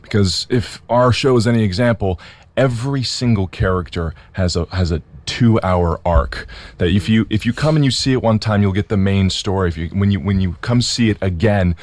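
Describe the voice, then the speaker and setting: A man's voice: fairly low pitch, normal speed, a little mellow. A man, a theater guest, speaking enthusiastically in a casual interview and often repeating words as he gets going. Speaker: theater company member guest.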